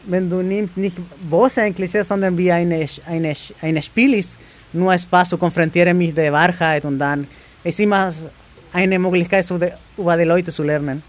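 A man from Chile, answering interview questions in halting, cheerful German. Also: sits high, fairly even intonation.

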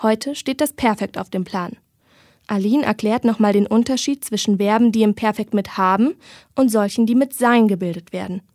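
A woman narrating a radio documentary feature in a calm, descriptive reading style. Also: medium pitch, normal speed, no echo, studio quality.

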